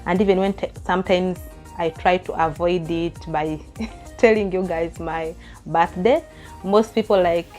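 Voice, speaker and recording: A Ugandan woman in her thirties talks to her viewers in a relaxed Q&A vlog. Her voice sits on the lower side, and she reads each question aloud, then rambles into chatty answers with small laughs. Soft music plays faintly behind her. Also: a little slow. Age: 30s. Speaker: vlogger. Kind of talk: casual Q&A chat to camera.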